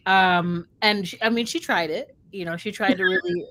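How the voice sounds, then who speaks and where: A woman's voice: medium pitch, moderate pace, a bit bright, rough recording. A woman in a casual book discussion, talking conversationally with lots of "like" and "you know".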